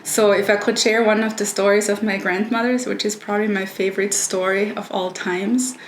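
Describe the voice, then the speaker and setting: A woman's voice: mid-range voice, fairly even intonation. Middle-aged woman chatting about family food, lots of ums, echoey room, faint hiss. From Austria. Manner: thoughtful, with frequent "um" pauses. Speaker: professor. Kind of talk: personal food storytelling. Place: her home.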